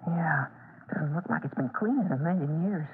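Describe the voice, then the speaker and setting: A woman's voice: low-pitched, moderate pace, slightly rough. An actress playing a polite, earnest fifteen-year-old boy, a hesitant big brother, in an old-time radio drama. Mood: reassuring. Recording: noisy, tinny old radio recording.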